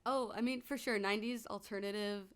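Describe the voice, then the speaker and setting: Soft scratchy-voiced young singer woman. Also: mid-range voice, fairly even intonation, brisk, smooth. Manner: soft-spoken, brief replies. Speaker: performer. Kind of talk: casual chat.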